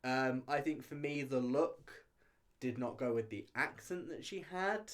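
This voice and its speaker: A man with a mid-pitched voice gives his opinions as a reviewer in a casual, chatty commentary. He talks loosely and hesitantly, often hedging and breaking off to rephrase as he goes. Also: a little slow. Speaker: reality show reviewer.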